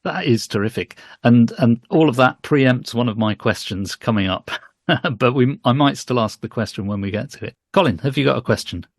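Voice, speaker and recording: A man's voice: mid-range voice, moderate pace, gravelly, no echo, studio quality. A man co-hosting a podcast, putting questions to a guest in a casual, conversational style. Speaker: podcast co-host.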